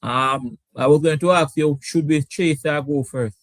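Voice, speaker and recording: A man with a Caribbean English accent hosting a sports debate show, animated and repeating his words. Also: on the high side, a little slow, dry recording. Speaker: show host. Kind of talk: lively sports debate talk.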